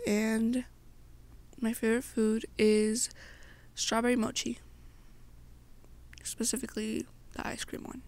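A young woman narrating an ASMR story to the listener in a halting way, pausing between short phrases. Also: a little high, little pitch movement, a little mellow. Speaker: amateur roleplay narrator.

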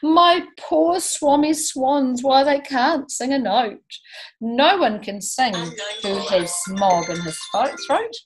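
A woman voicing a grumpy small male forest creature who scolds in a slow, loud, croaky way. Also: high-pitched, expressive, soft background music, voice pushed up, low-quality recording. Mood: scolding.

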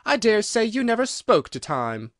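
Mad hatter type played by a man: contemptuous, emphatic, raised pitch, swooping intonation. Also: big pitch swings, normal speed, fairly bright tone, no echo, clean studio sound, heavily acted. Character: eccentric, contemptuous, argumentative male hatter. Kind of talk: storybook reading.

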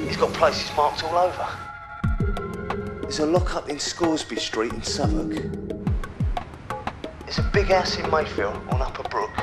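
Desperate London man over loud music, acted. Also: mid-range voice, lightly acted. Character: desperate, defiant father forced into gangland work. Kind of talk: video game cutscene acting.

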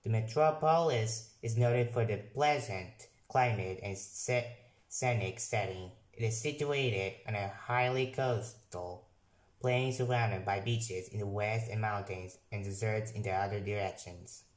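A young man, not a native English speaker, talks slowly over a screen recording and stumbles through passages he reads aloud, often backing up to fix a word. His voice sits fairly low. The sound carries a clear echo from the room around him. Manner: reads haltingly with restarts and corrections. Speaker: software reviewer. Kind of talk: casual screen-recorded review, reading aloud. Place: room at a computer.

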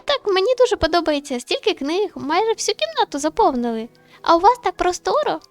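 Naive, excitable young female neighbour for a visual novel game, very high voice played by a woman. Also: brisk, dry recording, soft background music, exaggerated voice. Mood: excited, gushing. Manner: excitable, gushing.

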